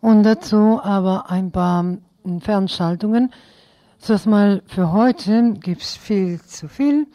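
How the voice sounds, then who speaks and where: A woman's voice: mid-range voice, takes it slowly, warm tone, dry recording, dull audio. A woman reading out event listings as a radio presenter.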